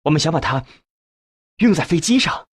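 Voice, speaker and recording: A man's voice: on the high side, lively intonation, normal speed, husky, dry recording, studio quality, voice pushed up. A man voicing an earnest, respectful researcher in an audiobook, speaking politely and with urgency as he probes for answers. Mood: earnest, urgent.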